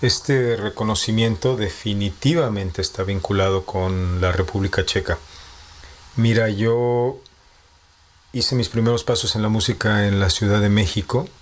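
A man in his thirties with a Mexico City Spanish accent, a composer answering interview questions in a measured, reflective way, thinking aloud as he goes. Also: on the low side, fairly even intonation. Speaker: composer and postdoctoral researcher.

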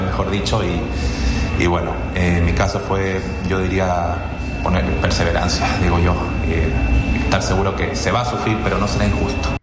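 Deep flat-voiced man, echoey hall. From Chile. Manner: thoughtful, halting, many restarts. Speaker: Spanish teacher interviewee. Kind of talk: interview answers. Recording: echoey hall with loud music. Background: loud music.

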